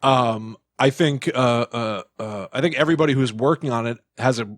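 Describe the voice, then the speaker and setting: A man's voice: mid-range voice, moderate pace, no room sound, clean studio sound. A man co-hosting a podcast in casual chat, thinking aloud as he talks. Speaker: podcast co-host. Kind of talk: casual chat about movies and the paranormal.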